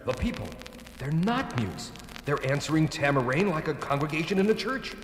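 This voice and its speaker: An actor playing a brisk, wry astronaut adventurer in a 1970s children's record drama. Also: male voice, fairly high pitch, sing-song, slight background noise. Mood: wry, curious.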